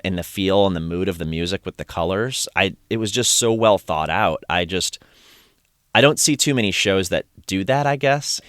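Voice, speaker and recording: Deep-voiced man hosting a chat. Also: fairly bright tone, dry recording, studio quality. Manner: enthusiastic, rambling with restarts. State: voice strained from singing. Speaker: podcast host. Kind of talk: casual conversational recap.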